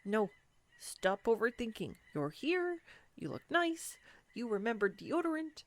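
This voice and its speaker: A woman's voice: on the high side, sing-song, a little slow, no echo. A woman playing a clumsy, nervous young woman on a first date in an audio roleplay, rambling and self-deprecating. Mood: self-reassuring, nervous. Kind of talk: intimate audio roleplay monologue.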